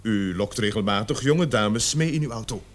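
A male actor plays a stern male police officer in a radio drama. He questions people formally and insistently, with authority. His pitch moves in a lively, animated way. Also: moderate pace, close to an everyday voice. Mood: accusing. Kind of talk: Dutch radio play acting.

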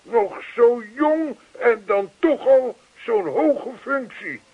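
An actor playing an earnest, lonely old widower in a radio play, talkative and old-fashioned, repeating himself. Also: male voice, extremely high pitch, lively intonation, slow pace, slightly rough, phone-quality sound, exaggerated voice. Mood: warmly earnest. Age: older.

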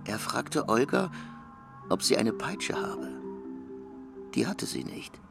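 A man with a gravelly voice giving literary narration in a radio play. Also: mid-range voice, big pitch swings, takes it slowly, over music.

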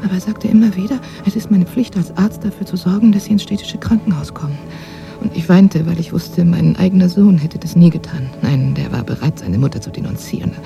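An actress playing a frail, bitter older woman, once a prisoner, speaking wearily and haltingly in a German radio drama. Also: lowish voice, creaky, mellow. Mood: sorrowful. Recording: music behind the voice.

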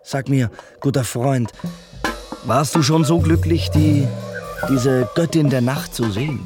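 An actor playing a courteous, curious young prince in a theatrical radio play, speaking formally and earnestly. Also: male voice, mid-range voice, a little slow, lightly acted. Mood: intrigued. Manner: formal, polite, questioning. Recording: music behind the voice.

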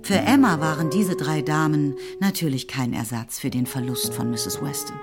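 A woman narrating a period novel for a radio play in a measured, wry, literary manner. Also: sits low, music in the background. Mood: composed, wry.

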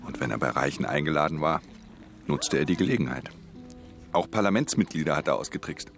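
An actor playing a world-weary, guarded pickpocket in a radio play, speaking and narrating in a laconic, restrained way. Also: male voice, very deep voice, animated, a little fast, a bit bright, soft background music, heavily acted. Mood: matter-of-fact, resigned. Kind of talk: radio drama dialogue and introspective first-person narration.

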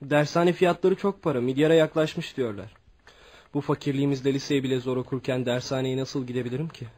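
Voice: mid-range voice, fairly even intonation, brisk, a little mellow, close to an everyday voice. A performer playing a polite, earnest teenage son from a poor family in a Turkish radio drama. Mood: earnest, downcast. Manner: respectful, earnest. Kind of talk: Turkish radio theatre acting.

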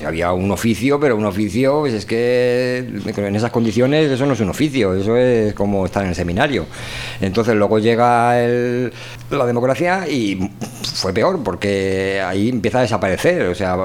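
A man's voice: on the low side, a little mellow. A man with a Spain accent hosting a radio chat in an informal, rambling way. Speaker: radio show host. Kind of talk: casual conversational radio chat.

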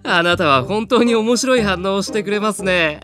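A young fairy with impeccably polite speech and a teasing, slyly mocking streak. The intonation is very lively, in audio drama style, with clear music behind. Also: male voice, high voice, normal speed. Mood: gleefully amused. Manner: courteous speech with sly mockery. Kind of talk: animated Japanese audio drama acting. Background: music and animal sounds.

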